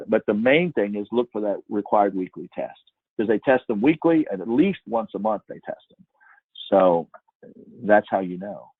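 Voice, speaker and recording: A man with a fairly low, husky voice speaks as a guest expert in an informal question-and-answer session. He gives advice emphatically. The sound is tinny and narrow, like a phone line. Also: no echo.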